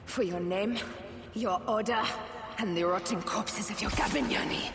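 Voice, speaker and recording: Cursing avenger, echoey hall, music. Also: male voice, sits high, normal speed, vocal fry. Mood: vengeful. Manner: cold, cursing. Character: vengeful game hero cursing an enemy. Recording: echoey cutscene with loud music.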